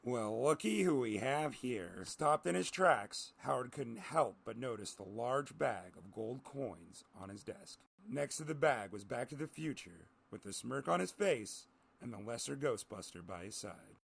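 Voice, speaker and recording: A man's voice: medium pitch, animated, a little slow, gravelly, fairly bright tone, husky. A man narrating a scripted story.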